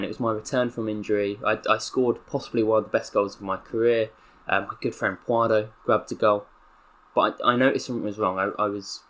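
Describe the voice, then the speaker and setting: A young man with a Southern English accent reads out a mock press statement in a low, earnest voice. His delivery is deliberately solemn, slipping now and then into excitable asides. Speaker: gaming YouTuber. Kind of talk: role-playing a footballer's press statement.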